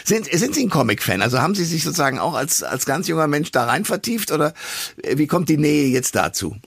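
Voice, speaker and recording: Male interview presenter, conversational, rough fry in the voice, tight dry sound. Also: a little high, big pitch swings, fast talker, a bit bright, no echo. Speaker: radio and podcast host.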